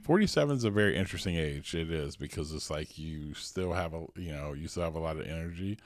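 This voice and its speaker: A man in his forties with a very deep voice talks loosely, circling back with restarts and filler as he thinks aloud. The sound is dry and close, with no room around him. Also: animated, quick pace, no room sound. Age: 40s. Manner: loose and rambling, many "like"s and restarts. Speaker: podcast co-host. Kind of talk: casual conversational chat.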